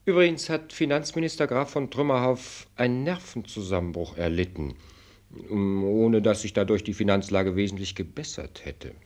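A man's voice: on the low side, lively intonation, moderate pace, darkish tone, lightly acted. Man acting a sardonic minister of state, swooping delivery. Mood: breezy irony. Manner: formal, pointed, lecturing, ironic delivery. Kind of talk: German radio play acting.

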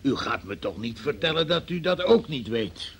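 An impatient, officious investigating magistrate in a radio drama. His medium-pitched voice moves widely up and down as he probes, sceptical and stern. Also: big pitch swings, moderate pace, fairly bright tone, slight background noise. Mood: exasperated, sceptical. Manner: probing, sceptical, officious, exasperated. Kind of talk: Dutch radio play acting.

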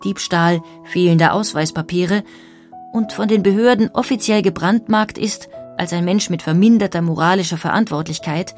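A woman reading scripted biographical narration with measured, deliberate phrasing. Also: on the low side, darkish tone. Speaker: documentary narrator. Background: music.

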